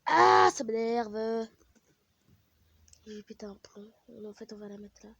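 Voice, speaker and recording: A woman's voice: fairly high pitch, expressive, takes it slowly, creaky, fairly bright tone, no echo. A woman with a French accent and a stuffy nose from a cold, chatting casually as she plays a game, easily annoyed and muttering asides. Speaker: gaming vlogger.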